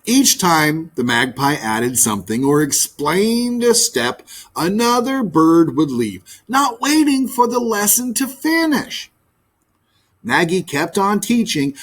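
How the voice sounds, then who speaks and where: A man narrating a children's book in an expressive read-aloud style. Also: fairly high pitch, slow pace. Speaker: storybook reader, teacher.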